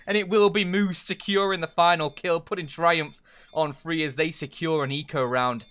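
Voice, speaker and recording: A man doing play-by-play commentary on an esports match, an in his speech, building to excited shouts on big plays. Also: fairly high pitch, moderate pace. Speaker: esports caster.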